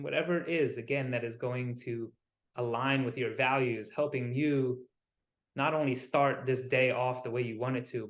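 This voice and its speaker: A man with a mid-pitched voice leads a guided meditation in a roomy, echoing space. He speaks soothingly and without hurry, in short phrases with pauses between them, as a wellness coach would.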